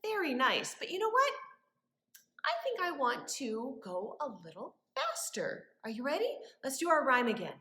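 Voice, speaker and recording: A woman leading story time for young children as a librarian, with animated wonder and a sing-song, encouraging way of talking. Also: high-pitched, moderate pace. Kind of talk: children's story time with rhymes, questions and songs. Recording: echoey room.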